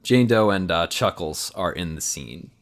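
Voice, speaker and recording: Lower-pitched male tabletop player, loosely narrating a scene, feeling his way through each phrase. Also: expressive, a little slow. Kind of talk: casual improvised scene narration.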